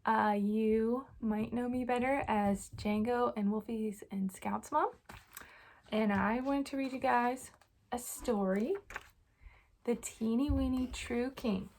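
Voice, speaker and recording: A young adult woman reading a storybook aloud to children in an informal, animated way. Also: fairly high pitch, slow, a little hiss or hum. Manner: casual, child-directed, animated on dialogue.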